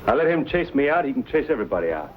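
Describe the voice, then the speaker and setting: A man's voice: mid-range voice, sing-song, rapid-fire, phone-quality sound, a little hiss or hum, close to an everyday voice. An actor playing a proud, stubborn young frontier man in a Western drama, speaking in earnest, folksy words. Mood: resolute.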